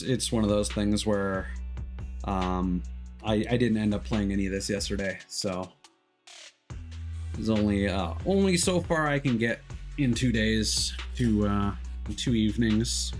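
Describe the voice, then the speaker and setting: A man's voice: on the low side, fairly bright tone. A man streaming a video game and chatting casually, rambling with many fillers and wry asides. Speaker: VTuber streamer. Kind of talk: casual let's-play commentary.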